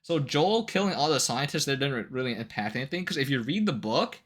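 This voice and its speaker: Animated, bright-voiced young-sounding male streamer. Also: mid-range voice, quick pace. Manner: animated, incredulous, heavy casual swearing; laughs often between remarks. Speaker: live streamer. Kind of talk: casual livestream chat, ranting to viewers.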